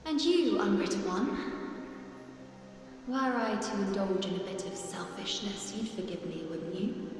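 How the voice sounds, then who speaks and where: A woman's voice: mid-range voice, lightly acted. Echoing, solemn woman, voiced by an actress. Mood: wistful, solemn. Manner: measured, formal, poetic phrasing. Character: solemn, wistful woman speaking of fate and parting. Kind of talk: dramatic video game voice acting. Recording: heavy echoing reverb under music.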